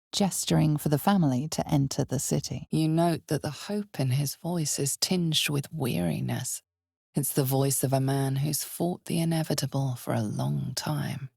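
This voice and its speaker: A woman giving dramatic scripted fantasy game narration in a measured, ominous storytelling manner. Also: deep voice, vocal fry, dark tone, no echo, studio quality.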